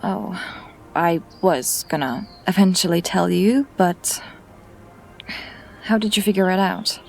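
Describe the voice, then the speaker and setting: A woman's voice: lowish voice, takes it slowly, creaky, slight background noise. A woman voicing Lyra, a guilt-ridden young cat. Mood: uneasy. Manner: hesitant, apologetic, defensive. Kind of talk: audio drama acting. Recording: music underneath.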